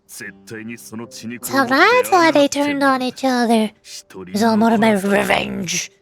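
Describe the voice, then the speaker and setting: A woman's voice: fairly high pitch, very animated, slow, gravelly, music in the background, voice pushed down, exaggerated voice. A woman voicing a tough, blunt young female mercenary in a fantasy game, in an amateur read. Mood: questioning. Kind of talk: amateur voice acting of game dialogue.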